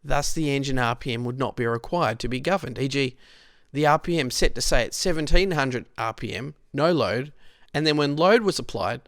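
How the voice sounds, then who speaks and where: An Australian man reading a scripted historical narration in a measured, explanatory way. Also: mid-range voice, a bit bright, no room sound, clean studio sound.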